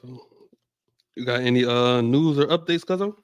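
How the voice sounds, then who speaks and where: A man in his twenties co-hosting a casual podcast, easygoing and slangy, quick to laugh. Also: mid-range voice, clean tone, dry recording. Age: 20s. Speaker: podcast co-host. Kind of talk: casual chat.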